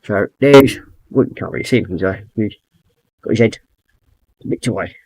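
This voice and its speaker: A middle-aged man with a croaky throat, chatting easygoing and rambling as he hosts a livestream, reading out messages from chat. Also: fairly low pitch, moderate pace, a little mellow. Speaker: livestream host. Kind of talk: casual livestream chat while painting models.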